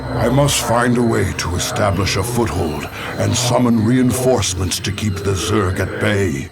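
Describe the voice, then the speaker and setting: A solemn alien mystic from a video game, low and gravelly. He talks slowly, with brooding, dramatic weight, as loud music swells behind him. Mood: wary, resolute. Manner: solemn, dramatic, deliberate archaic phrasing. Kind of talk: scripted game narration and dialogue.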